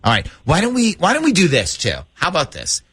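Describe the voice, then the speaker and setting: Morning-show host, male, jokey and talkative, animated delivery on the high side. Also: fast, husky, no echo. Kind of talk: radio talk banter and prank call.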